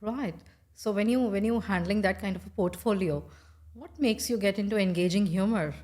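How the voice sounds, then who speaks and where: A woman's voice: mid-range voice, moderate pace, a little mellow. A woman interviewing a guest in a casual, playful way, asking questions. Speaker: interviewer, host.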